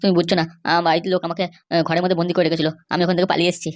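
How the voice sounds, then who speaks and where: A woman's voice: on the low side, flat delivery, dry recording, natural voice. A woman voicing a scheming, pleading young runaway man in a comedy cartoon, with a pushy, wheedling manner. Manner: wheedling, pushy, fast-talking.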